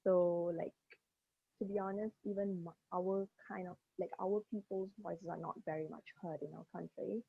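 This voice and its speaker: A woman's voice: on the low side, fairly even intonation, moderate pace, creaky, husky. A young woman from Malaysia, interviewing a guest in an effusive way, full of "like" and "you know". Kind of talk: casual interview over a video call. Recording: dull dry lo-fi call audio.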